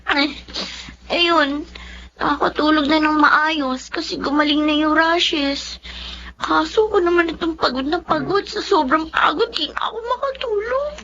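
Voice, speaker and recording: High girlish voice, anxious tired teen mom, radio drama, slightly muffled, faint noise. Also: measured pace, bright, forceful tone, full character voice. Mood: exhausted. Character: weary, anxious teenage single mother, a pleading younger sister. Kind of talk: Tagalog radio drama acting. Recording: dull lo-fi audio, faint background noise.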